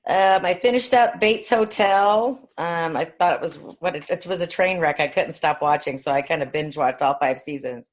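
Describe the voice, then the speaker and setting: A woman's voice: low-pitched, moderate pace, few pauses. A middle-aged or older woman, chatting casually as a podcast co-host. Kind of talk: casual chat.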